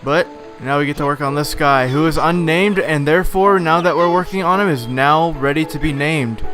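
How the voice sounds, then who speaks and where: A slightly hoarse man giving casual, rambling commentary over a video game playthrough, with frequent filler words. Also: a little high, moderate pace, a bit bright. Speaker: let's play host. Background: game music.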